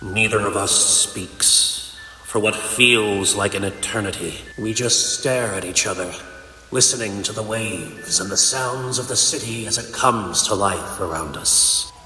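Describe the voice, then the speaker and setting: A man's voice: lowish voice, measured pace, pushed and bright. A man playing a bitter, grief-stricken, vengeful ice supervillain in a comic dub, with theatrical, brooding delivery. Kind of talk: dramatic comic dub acting. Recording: echoing reverb, music underneath. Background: music.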